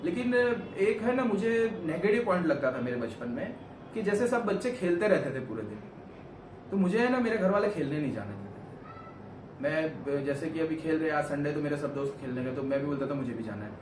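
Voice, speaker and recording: A man's voice: fairly high pitch, lively intonation, fairly quick. A young man from Gujarat, India, answering questions about himself on his vlog in a rambling, earnest way. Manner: rambling, earnest, self-correcting. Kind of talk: casual interview chat. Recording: echoey room, far from mic, noisy.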